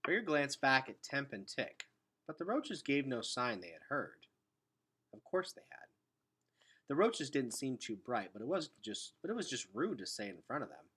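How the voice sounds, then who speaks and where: Male read-aloud narrator for children's fiction: bright, animated, slightly raspy, expressive. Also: medium pitch, moderate pace.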